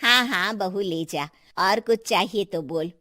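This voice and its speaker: A woman's voice: mid-range voice, unhurried, bright, forceful tone, no room sound, clean studio sound. A woman voicing a kindly, generous older mother-in-law in a Hindi cartoon story. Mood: indulgent.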